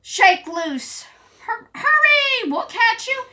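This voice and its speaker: A woman voicing excitable, squabbling prairie dogs in a children's storybook, with exclamatory shouts. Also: extremely high pitch, slow, pitched above the performer's own voice, exaggerated voice. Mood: excited.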